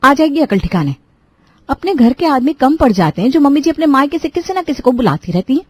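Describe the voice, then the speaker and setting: A woman's voice: sits high, sing-song, a little fast, a little mellow, voice pushed up. A woman voicing an overworked, resentful young daughter-in-law who grumbles and answers back in a cartoon story. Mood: sarcastic grumbling. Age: young adult. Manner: complaining, exasperated. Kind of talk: Hindi cartoon story dialogue.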